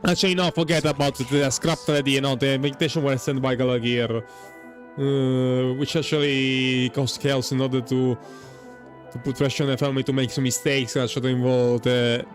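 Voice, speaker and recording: A man's voice: medium pitch, flat delivery, normal speed, faint music behind. A man giving casual gameplay commentary as a game streamer.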